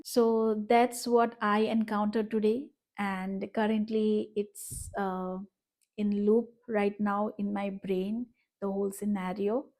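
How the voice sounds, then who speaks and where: A woman's voice: medium pitch, dark tone. A woman from Northeast India with an Indian English accent, Bengali her first language, talking in a reflective, hesitant vlog from her room. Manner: reflective, hesitant, many fillers. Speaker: vlogger. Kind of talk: personal vlog monologue.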